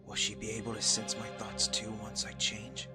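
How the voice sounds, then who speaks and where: A man playing a solemn, protective young demigod hero in an anime visual novel, in an earnest, deliberate way. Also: fairly low pitch, completely flat delivery, lightly acted. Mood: brooding. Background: background music.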